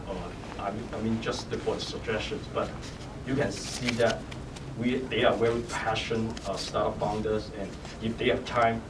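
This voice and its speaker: Startup founder, man with hong kong accent, lowish voice, far off in an echoey noisy hall. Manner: halting, many restarts and "you know". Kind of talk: panel Q&A answer. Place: event hall.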